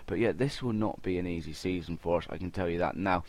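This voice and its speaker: A man's voice: sits low, fast talker, darkish tone. A young man giving casual, chatty gameplay commentary, talking informally straight to his viewers. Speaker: gaming video host.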